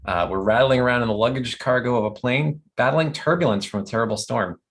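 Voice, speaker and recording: A man's voice: mid-range voice, brisk, a little hiss or hum. A man narrating stage directions at a comedy table read, matter-of-fact and descriptive.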